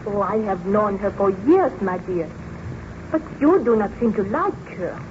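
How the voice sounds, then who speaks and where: An actress playing a baron's gentle, anxious beloved in old-time radio drama, with formal, solicitous delivery on an old radio recording. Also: female voice, mid-range voice, very animated, unhurried, muffled recording, noisy background. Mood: solicitous, firm.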